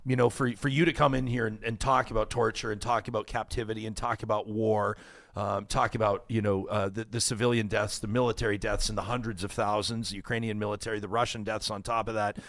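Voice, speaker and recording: Male host, dry studio sound, halting and repeating words between promos. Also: fairly low pitch, flat delivery, fairly bright tone, dry recording. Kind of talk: talk show interview and promos. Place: radio studio.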